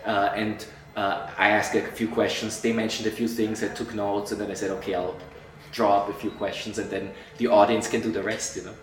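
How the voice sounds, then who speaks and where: A middle-aged man from Austria, an entertainment journalist answering interview questions, animated and acting out quoted colleagues, with frequent restarts. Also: fairly low pitch, fairly quick, a little hiss or hum. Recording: echoey room, voice a bit far from mic.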